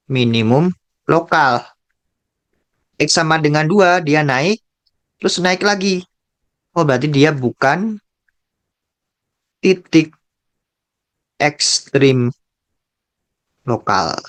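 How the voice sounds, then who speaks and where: A man with an Indonesian accent tutoring calculus, working slowly through a graph problem, repeating key terms and thinking aloud. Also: a little high, expressive. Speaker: math tutor.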